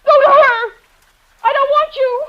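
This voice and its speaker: A woman's voice: extremely high pitch, fairly even intonation, unhurried, a little hiss or hum. A woman playing a frightened yet defiant wife in danger, emotional and indignant, in 1940s-style radio thriller acting. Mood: angry, hurt. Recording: dull old radio recording.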